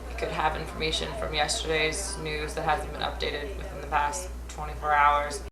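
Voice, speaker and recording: A woman's voice: deep voice, completely flat delivery, moderate pace. A woman in her early twenties giving brief, matter-of-fact interview answers. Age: 20s. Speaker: interviewer and respondent. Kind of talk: casual interview. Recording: echoey room with crowd noise.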